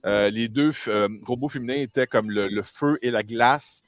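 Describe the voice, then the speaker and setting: A man's voice: medium pitch, moderate pace, no echo, faint music behind. A man with a Quebec French accent hosting a casual group chat, explaining things in colloquial language. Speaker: host.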